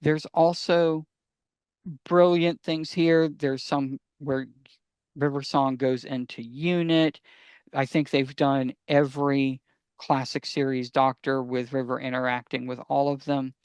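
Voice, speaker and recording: A man's voice: mid-range voice, level tone, measured pace, darkish tone, clean tone, dry recording. A man co-hosting a podcast in casual talk, eagerly explaining his recommendations and answering his own questions. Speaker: podcast co-host.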